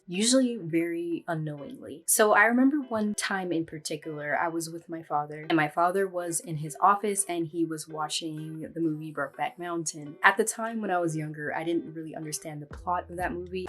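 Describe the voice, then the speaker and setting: A young woman from Barbados, telling personal stories in an eager, reflective way in a casual vlog. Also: low voice. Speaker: vlogger.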